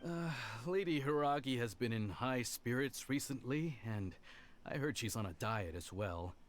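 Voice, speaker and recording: A man's voice: mid-range voice, animated, measured pace, lightly acted. An actor playing an earnest, restrained young male retainer with a formal manner in an anime video game. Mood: matter-of-fact. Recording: music behind the voice.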